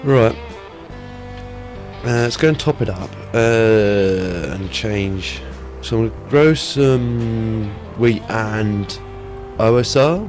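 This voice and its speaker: Guy with a cold doing let's play chat, kinda low voice, lots of ums, bit of music behind. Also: slow pace, darkish tone. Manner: chatty, rambling, many ums and uhs. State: head cold, sniffly. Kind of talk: casual gameplay commentary.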